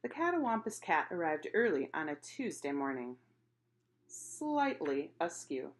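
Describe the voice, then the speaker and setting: Animated woman reading a children's storybook. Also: low voice, unhurried. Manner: animated, acting out characters' exclamations. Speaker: picture book reader. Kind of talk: expressive children's storybook read-aloud.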